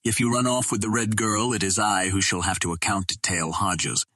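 Male narrator as green Martian chieftain for a storybook: stern, pronouncing, husky, dry sound. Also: lowish voice, fairly even intonation, normal speed, pushed and bright, no room sound. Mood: stern warning. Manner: formal, commanding, pronouncing.

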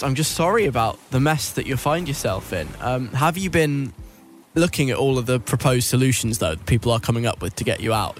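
A young British man hosting a radio show in a conversational, wry, chatty style. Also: medium pitch.

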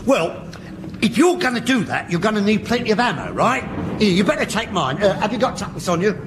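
An actor playing a cheeky, breezy cockney spiv soldier with a London accent in a radio sitcom. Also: male voice, high voice, expressive, brisk. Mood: alert. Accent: London English. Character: cheeky, chatty cockney spiv on guard duty. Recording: very noisy old radio recording.

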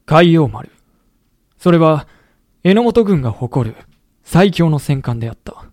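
An actor playing a samurai-era veteran who narrates a historical audio drama in a chatty, wry storytelling manner. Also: male voice, a little high, mellow, dry recording, clean studio sound. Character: colloquial samurai-era veteran recounting his comrades' lost war. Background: background music.

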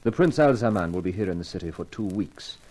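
An actor playing a suave, exacting Arabian sheik with formal, measured, precise speech in a 1950s radio drama. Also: male voice, deep voice, expressive, a little mellow. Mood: smooth, formal.

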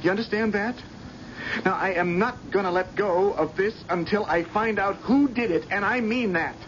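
High-voiced, determined young son. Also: male voice, normal speed, fairly bright tone. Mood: determined, intense. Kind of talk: radio drama dialogue. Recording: dull old radio broadcast, faint noise.

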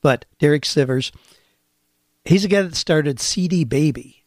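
A man's voice: on the high side, a little slow, dry recording, studio quality. A man hosting a career-advice radio show, talking in an earnest, encouraging and conversational way. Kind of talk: podcast radio monologue.

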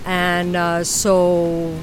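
A woman from the United States, a flamenco dancer, answering interview questions thoughtfully with frequent pauses and ums. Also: fairly low pitch, completely flat delivery, background noise. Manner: thoughtful, many ums and uhs. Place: outdoors on a beach.

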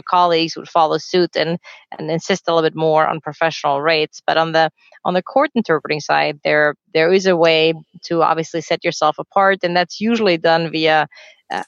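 A woman's voice: low voice, vocal fry, no echo. Talkative woman on dull, dry audio. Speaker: court and conference interpreter, guest. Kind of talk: conversational panel discussion.

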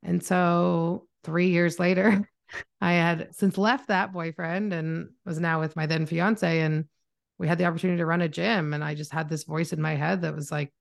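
A Canadian woman in her thirties speaks in a slightly low voice with very heavy vocal fry. She tells stories informally and conversationally, as a guest being interviewed on a podcast. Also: steady tone, moderate pace. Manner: informal, chatty, self-narrating. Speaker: podcast guest, marketing mentor.

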